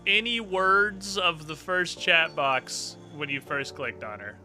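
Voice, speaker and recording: A man's voice: a little high, slow, sharp tone, faint music behind. A man streaming a game live, improvising commentary in a sarcastic, sweary way.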